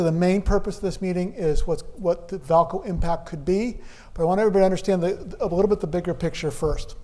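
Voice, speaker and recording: A man's voice: high-pitched, darkish tone. An older man, a demographer, giving a methodical public presentation at a city meeting. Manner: explanatory, methodical.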